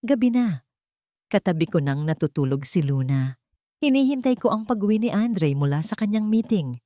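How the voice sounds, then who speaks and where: A woman's voice: mid-range voice, sing-song, normal speed, dark tone, dry recording, clean studio sound. A woman reading a scripted first-person dramatic story as its narrator.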